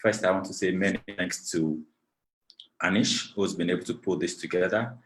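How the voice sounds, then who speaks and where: A man's voice: sits low, steady tone, moderate pace, rough recording. A man from Nigeria, moderating an online panel over a video call in a gracious, formal way, thanking many people at length. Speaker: webinar moderator.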